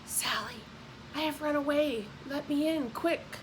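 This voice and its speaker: A woman narrator plays a desperate young runaway mother in a historical storybook. She speaks in a hushed, urgent way, yet stays resolute. Slight noise runs under the recording. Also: high voice, measured pace, rough recording. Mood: whispered urgency. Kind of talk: audiobook character voice.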